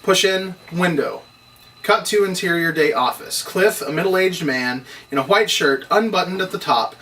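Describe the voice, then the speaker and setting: A man's voice: on the high side, fairly bright tone. A man reading scripted screenplay narration and stage directions at a table read. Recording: distant mic, faint background noise.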